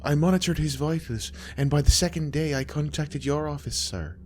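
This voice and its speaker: A man's voice: fairly high pitch, moderate pace, a little mellow, faint music behind, pitched above the performer's own voice, lightly acted. A man voicing a cruel, proud nurse who fawns eagerly over her superior, adding "sir" to her replies. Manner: eager, deferential, says "sir" often. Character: stern nurse woman, cruel, proud and fawning.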